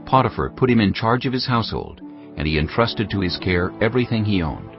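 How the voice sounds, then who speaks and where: A man narrates scripture in a solemn, measured storytelling style. His voice is very low, with lively intonation. Soft music plays under the slightly muffled recording. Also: gravelly. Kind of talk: scripted dramatized Bible narration. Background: background music.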